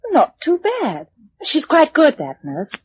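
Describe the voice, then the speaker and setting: A woman's voice: high-pitched, wildly swooping pitch, slow pace, full character voice. An actress playing a frank, teasing and practical young woman survivor, speaking in breezy radio drama dialogue. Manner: breezy, matter-of-fact. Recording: dull radio recording.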